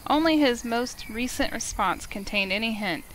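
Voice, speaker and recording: A woman's voice: fairly high pitch, animated, normal speed, a bit bright, slight background noise, low-quality recording. A woman reading narration from a visual novel aloud in a storytelling style, as a game streamer.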